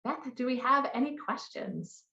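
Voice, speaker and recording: A woman's voice: high-pitched, expressive, a little slow, creaky, sharp tone. A woman giving a business presentation and answering questions as a marketing lead. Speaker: conference presenter, head of customer marketing. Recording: roomy echo, slightly muffled sound.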